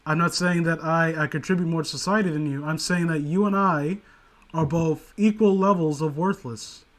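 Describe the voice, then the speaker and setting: Male co-host for comedic commentary, higher register, casual, rambling, sarcastic and crude. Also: fairly even intonation, normal speed.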